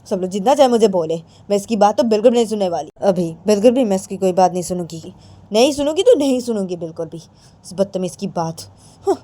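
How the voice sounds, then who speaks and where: A woman's voice: medium pitch, lively intonation, quick pace, dark tone, lightly acted. A woman voicing a stubborn, sulky young wife who keeps repeating her refusals. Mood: defiant.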